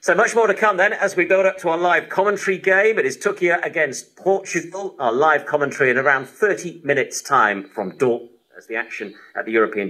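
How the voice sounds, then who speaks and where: A man with a bright, forceful voice presents live sports news. He speaks as a radio presenter linking results and upcoming commentary. The sound is that of a shortwave broadcast. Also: medium pitch, poor audio.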